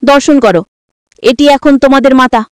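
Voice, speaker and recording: A woman voicing a domineering, quick-tempered older father in a story, commanding and indignant. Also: high-pitched, no echo, lightly acted.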